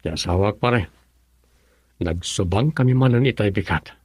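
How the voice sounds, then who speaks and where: An actor playing an easygoing, broke husband who works construction, in a radio drama. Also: male voice, lowish voice, normal speed, a little mellow, slightly rough, no room sound, studio quality.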